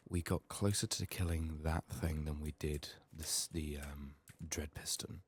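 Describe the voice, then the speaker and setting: A soft-spoken man murmuring low-key ASMR game commentary, thinking aloud in a slightly sick, strained voice. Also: fairly even intonation, a little slow, breathy edge. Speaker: ASMR gaming YouTuber. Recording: faint background noise, clicking.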